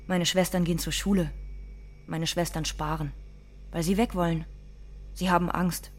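A woman's voice: on the low side, fairly even intonation, moderate pace, slight background noise, lightly acted. An actress playing a bitter, frightened young migrant cleaning woman in a radio drama monologue, in terse, insistent, repeating sentences. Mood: bitter, weary.

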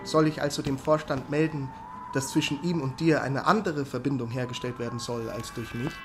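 An actor playing a deferential, polite village messenger in a literary radio play. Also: male voice, medium pitch, steady tone, a little fast, over music, close to an everyday voice. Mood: polite, puzzled.

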